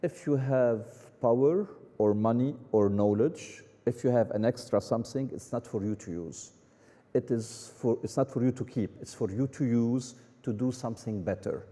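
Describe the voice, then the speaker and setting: A man from Lebanon speaks onstage at a conference. His voice is very dark, and a long hall reverb trails behind it. He argues with quick, emphatic rhetorical questions. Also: medium pitch. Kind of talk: onstage conversation.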